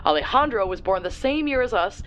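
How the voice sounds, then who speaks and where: A woman's voice: medium pitch, lively intonation, a little fast, a bit bright, slight background noise, close to an everyday voice. An actress playing a brash, tech-savvy young woman who gives wry, slangy briefings in an audio drama. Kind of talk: audio drama acting, conversational exposition.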